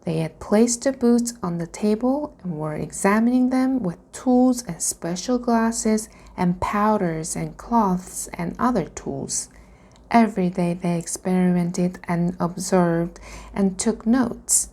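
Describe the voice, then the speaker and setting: A woman reading a children's storybook aloud in a deliberate way. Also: fairly low pitch, warm tone. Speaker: reader practicing reading aloud.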